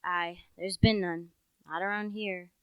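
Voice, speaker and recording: A woman's voice: on the low side, fairly even intonation, measured pace, clean tone, dry recording, highs cut off, close to an everyday voice. A teenage female actor playing an opinionated, suspicious rustic village constable in a radio play, folksy and insistent. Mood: regretful.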